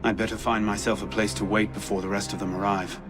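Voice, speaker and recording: An actor playing a stealthy, self-assured young assassin in a video game, muttering dry, understated asides. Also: male voice, fairly low pitch, level tone, fast, lightly acted. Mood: cool, confident. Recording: music over the voice. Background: music.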